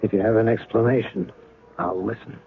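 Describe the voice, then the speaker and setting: A man's voice: lowish voice, fairly quick, creaky. An actor playing a bitter, sarcastic middle-aged ex-husband and spy in a 1970s radio drama, curt and biting in his delivery. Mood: bitter, impatient. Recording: tinny band-limited 1970s radio, faint music.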